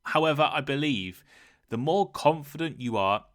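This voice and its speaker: A man hosting a podcast, talking through a clear, measured, scripted lesson. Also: a little high, expressive, a bit bright. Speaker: podcast host. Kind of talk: scripted educational monologue.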